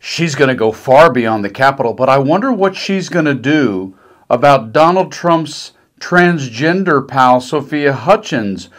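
A man's voice: medium pitch, lively intonation, unhurried, a bit bright. A middle-aged man giving sarcastic, mocking political commentary in a conversational way. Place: small decorated room indoors.

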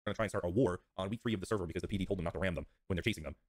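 Very fast-talking man, low dry voice. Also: dry recording. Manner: blunt, firm. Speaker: community server admin presenting rule updates. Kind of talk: informal presentation of rule changes.

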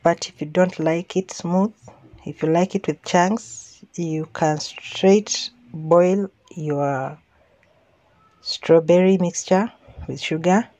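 A woman narrates her home cooking in a lowish, relaxed voice, taking her time over each step. She often restarts or trails into an um. The recording is close and dry. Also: dry recording. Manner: unhurried, with frequent restarts and ums. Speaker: home cooking channel host.

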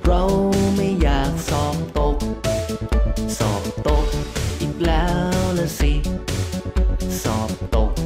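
Female kids' song vocalist: lowish for a woman, drawn-out words, loud pop backing. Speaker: singer. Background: loud pop music.

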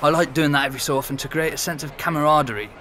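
A man's voice: fairly high pitch, fairly even intonation, racing, noisy background, natural voice. An actor playing a sociable young man who talks openly about an old housemate. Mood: casual. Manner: open, conversational, reflective. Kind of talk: audio drama acting.

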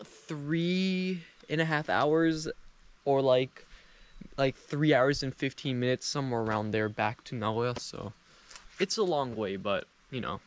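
A man's voice: mid-range voice, measured pace, slight background noise. A young man chatting casually to camera as a travel vlogger, rambling and self-deprecating. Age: young adult. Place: outdoors at a rural mountain train station in rain.

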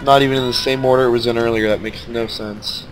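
A man with a stuffed-up, nasal voice giving relaxed, casual commentary while playing a strategy game. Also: medium pitch, fast, rough recording. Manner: laid-back, thinking aloud as he plays. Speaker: let's play commentator.

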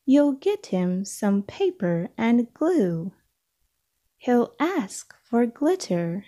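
Very slow, lilting woman storybook reader. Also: on the high side, very animated, warm tone. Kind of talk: read-aloud storybook narration.

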